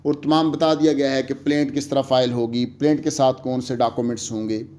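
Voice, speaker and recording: A man giving a recorded law lecture, explaining slowly and mixing English legal terms into his speech. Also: mid-range voice, fairly even intonation, warm tone.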